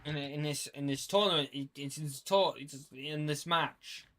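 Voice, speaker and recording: Stuttering young man, bright voice. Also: fairly high pitch, moderate pace. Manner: stutters, restarts, swears casually. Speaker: student filmmaker. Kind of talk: casual commentary on his own film.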